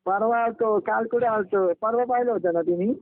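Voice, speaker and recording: A man's voice: high voice, normal speed, no room sound. A young man chatting casually with a friend in an insistent, blunt, repetitive way on a phone call. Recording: narrow, tinny phone call recording.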